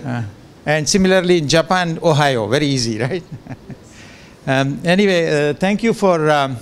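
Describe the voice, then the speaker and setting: An older man whose first language is Gujarati gives a relaxed, joking guest lecture into a handheld microphone in a large lecture room, with many fillers and restarts. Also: fairly high pitch, normal speed, clean tone. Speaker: professor giving a guest lecture. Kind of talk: informal lecture with asides.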